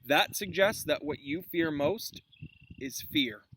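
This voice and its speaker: A man reading a storybook as a kindly, thoughtful wizard teacher, in a gentle conversational manner, recorded outdoors. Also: on the high side, slow pace, full character voice. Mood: reflective. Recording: clearly noisy outdoor recording.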